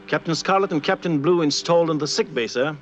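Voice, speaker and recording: A man's voice: a little high, fast talker, highs cut off, slight background noise, natural voice. An actor playing a dutiful lieutenant in a vintage puppet sci-fi series, formal and efficient as he reports. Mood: dutiful, brisk. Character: brisk dutiful male lieutenant at a control desk. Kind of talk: 1960s puppet TV sci-fi acting.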